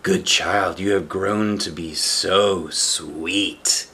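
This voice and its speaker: A man voicing a cunning old wolf posing as a kindly grandmother, coaxing in a drawn-out low voice for a storybook. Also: steady tone, sharp tone, slight background noise, exaggerated voice. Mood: feigned sweetness.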